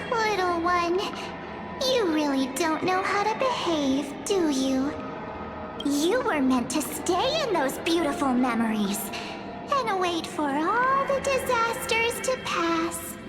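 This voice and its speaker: A performer voicing a condescending childlike creature in a video game, scolding in a drawn-out, taunting way. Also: very high voice, sing-song, full character voice. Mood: mock-sweet scolding. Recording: loud music under the voice.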